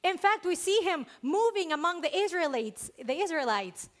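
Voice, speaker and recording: A woman's voice: extremely high pitch, big pitch swings, moderate pace. Woman preacher, Spanish accent, earnest and emphatic, voice rising and falling widely. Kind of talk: sermon.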